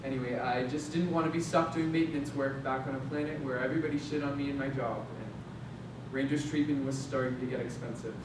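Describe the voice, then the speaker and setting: A man's voice: fairly even intonation, fairly quick, natural voice. A young man acting a brash, resentful space maintenance worker in a staged drama, slangy, sarcastic and confrontational. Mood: wounded, disappointed. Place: stage or hall at a staged reading. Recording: very echoey room, distant mic, noisy rumble.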